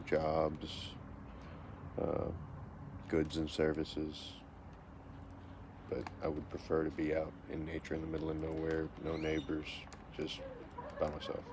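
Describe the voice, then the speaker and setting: A man in his forties from Texas with a deep voice, reading out questions and answering them plainly in a casual self-interview. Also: gravelly. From Texas, USA. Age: 40s. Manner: reads each question, then answers plainly and matter-of-factly. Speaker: vlogger answering a question tag. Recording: noisy home recording.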